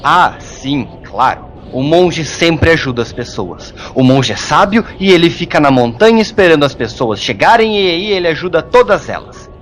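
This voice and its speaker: A man playing a grumpy, sarcastic hermit monk in an audio drama, gruff and mocking in a colloquial way. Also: fairly high pitch, very animated, moderate pace, hardly any pauses. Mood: sarcastic, grumbling.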